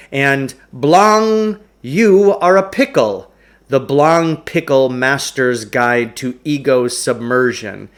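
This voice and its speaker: A man narrates a storybook at an unhurried pace. His voice sits a little high for a man and moves expressively up and down as he reads. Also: a bit bright. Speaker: teacher reading a novel aloud. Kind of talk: storybook narration, reading aloud.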